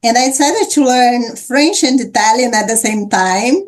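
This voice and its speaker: A woman's voice: a little high, moderate pace. A woman hosting a casual interview, speaking in an encouraging way. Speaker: interview host. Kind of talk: casual conversation.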